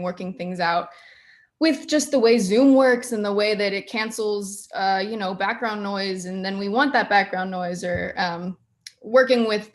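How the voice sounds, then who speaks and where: A woman in her early twenties talking casually on a video call panel, halting as she searches for words. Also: medium pitch. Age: early 20s. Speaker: student sound designer.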